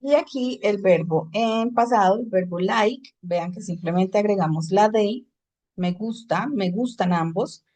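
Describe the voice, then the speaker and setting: A woman's voice: medium pitch, measured pace, a little mellow. Woman teaching an English class. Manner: explains in Spanish, switches to English for examples. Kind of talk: online class lecture.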